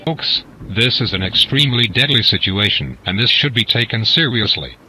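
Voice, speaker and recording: A man's voice: lowish voice, lively intonation, normal speed, over music, natural voice, poor audio. A man playing a grave radio weatherman giving urgent, emphatic emergency warnings to listeners. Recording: loud storm-like noise behind.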